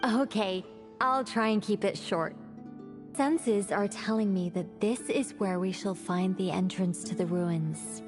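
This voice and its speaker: Scholarly woman, played by an actress, medium pitch, formal precise explainer, video game dialogue, faint music underneath. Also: measured pace, close to an everyday voice. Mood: composed, formal. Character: formal, scholarly, self-assured woman fond of lengthy explanations.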